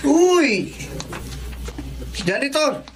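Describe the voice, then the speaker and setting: An arrogant young male student bully in a dramatic serial. The voice sits high and sneers, dragging out words in mockery and taunting like someone who owns the place. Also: big pitch swings, a little hiss or hum, exaggerated voice. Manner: taunting, drawn-out mocking words. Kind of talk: Filipino radio-style drama acting.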